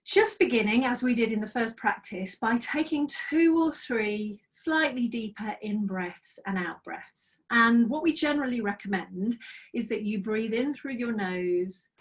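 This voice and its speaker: A middle-aged woman leading a guided mindfulness practice over a video call, speaking slowly and soothingly with gentle pauses, her voice slightly croaky. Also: fairly high pitch, recorded from a distance. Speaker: mindfulness trainer. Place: small office with bookshelves, indoors. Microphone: laptop webcam on a video call.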